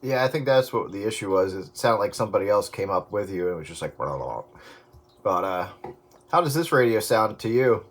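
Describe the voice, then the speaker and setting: A man's voice: lowish voice, lively intonation, fairly quick. A man in casual CB radio chat, talking in a folksy way with radio jargon over very noisy, clicking radio audio.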